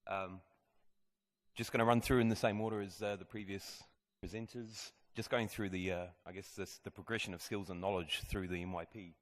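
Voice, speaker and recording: A man's voice: low-pitched, steady tone, brisk. A man who heads a school maths department, steadily explaining the curriculum to parents in a school presentation room.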